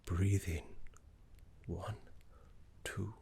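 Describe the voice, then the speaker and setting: Man acting as scholarly necromancy tutor, deep hushed whisper, very slow, courteous, wistful, soothing ASMR roleplay. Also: fairly even intonation, slight background noise, heavily acted. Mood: calm, soothing. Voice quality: soft, breathy whisper.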